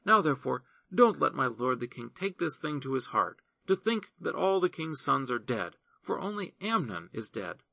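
A shrewd royal adviser steadily reassures his king, as read by a man. The voice is bright, with a gravelly edge. It is recorded dry. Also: mid-range voice, very animated, normal speed, hardly any pauses, no echo, full character voice. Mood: reassuring. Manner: reassuring, explanatory.

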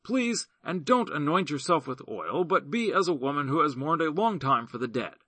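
A man's voice: a little high, big pitch swings, a bit bright, breathy edge, no echo, heavily acted. A man reading the part of a cunning commander giving careful instructions. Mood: instructing. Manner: instructive, deliberate.

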